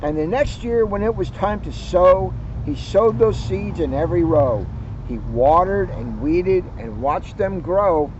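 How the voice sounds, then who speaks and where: A man who works as a police officer reads to children and chats informally, with expressive, deliberate storytelling and drawn-out phrase endings. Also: high-pitched. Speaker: police officer reading to children in a community video series. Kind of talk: picture-book read-aloud with informal chat. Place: outdoors at a garden center. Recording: dull, noisy outdoor audio.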